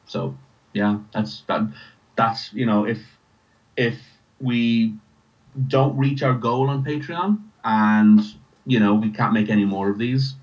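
Irish male interview guest, lowish voice, casual, stop-start delivery, lo-fi sound. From Ireland. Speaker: writer and podcast creator, interview guest.

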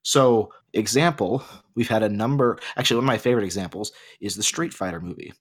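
A man's voice: fairly low pitch, quick pace. A man co-hosting a casual podcast discussion, arguing and explaining his points, his voice a bit off from being sick. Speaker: podcast co-host.

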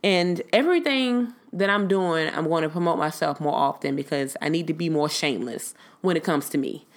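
A woman's voice: low-pitched, normal speed. A woman hosting her own podcast, talking casually and bluntly to her listeners, her voice congested and nasal from a cold. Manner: candid, blunt, swears freely, addresses listeners as y'all. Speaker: podcast host. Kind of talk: casual solo podcast monologue.

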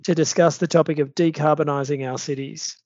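A man hosting a podcast, reading a prepared introduction in a measured way. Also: a little high, steady tone, darkish tone, no echo, muffled recording. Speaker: podcast host, law firm partner.

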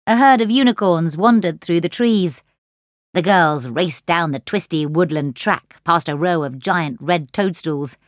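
Children's audiobook narrator: woman, fairly low register, expressive, close and dry studio sound. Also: measured pace, no echo. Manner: expressive storytelling. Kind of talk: scripted children's story narration. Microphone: close studio microphone.

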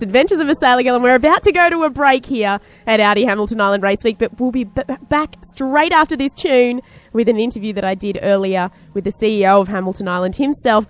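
A woman with a slightly high, smooth and resonant voice. She introduces segments with enthusiasm. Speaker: host. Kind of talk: link between segments.

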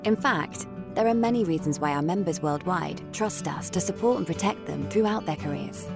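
A woman's voice: on the low side, moderate pace, vocal fry. A woman reading a scripted advertisement in a polished, persuasive voice. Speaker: ad voice-over. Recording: music bed under voice.

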